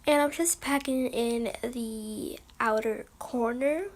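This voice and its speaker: A young woman with a high voice and a bit of gravelly fry, slightly hoarse from a recent cold. She talks upbeat and chatty, explaining her makeup steps straight to a close camera in a small room at home. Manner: chatty and upbeat, quick asides. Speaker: beauty vlogger. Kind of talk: makeup tutorial narration.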